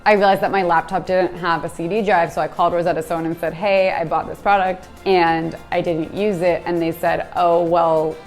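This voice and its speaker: A woman in her twenties from the United States speaks in a fairly low register. She is candid and self-deprecating, and she tells personal stories in a reflective monologue. Faint music plays underneath. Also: flat delivery, moderate pace, hardly any pauses. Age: 20s. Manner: candid, self-deprecating, storytelling with small laughs. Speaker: speaker giving a self-help talk.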